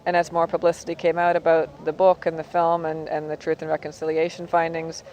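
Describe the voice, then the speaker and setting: A woman producer, speaking earnestly and warmly in an interview. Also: low-pitched, monotone, fairly quick, poor audio. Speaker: film producer.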